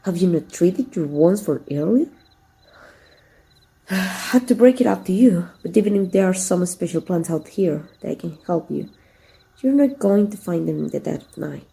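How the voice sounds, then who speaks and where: Woman acting a dark, soft-voiced flirtatious villainess. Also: on the low side, animated. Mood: concerned, tender. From Mexico. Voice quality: breathy, soft, sometimes whispering. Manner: teasing, intimate, unhurried. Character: flirtatious female villain, teasing, secretive, tender lover. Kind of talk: ASMR roleplay voice acting.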